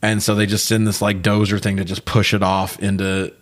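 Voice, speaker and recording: A man co-hosting a podcast, chatting casually through a movie recap in a jokey, swear-heavy way that gets animated when he is riled. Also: on the low side, fairly quick. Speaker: podcast co-host.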